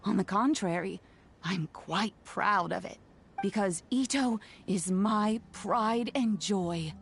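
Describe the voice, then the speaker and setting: Slow-talking, kindly old granny. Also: female voice, medium pitch, a little hiss or hum. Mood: fond, proud. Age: older. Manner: gentle, reassuring, measured. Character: kindly, proud, doting old granny who raised an oni. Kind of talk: video game voice acting.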